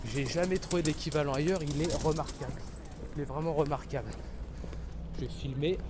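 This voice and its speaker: A man's voice: a little high, steady tone, a little fast. A young man casually vlogging while he walks through a city, rambling in crude slang. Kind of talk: casual walking vlog. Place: city streets outdoors. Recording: outdoor street noise. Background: traffic and street noise.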